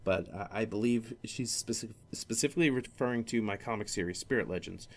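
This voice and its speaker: A man's voice: lowish voice, brisk. A young man hosting a solo gaming podcast, rambling casually with many fillers and restarts. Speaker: podcast host.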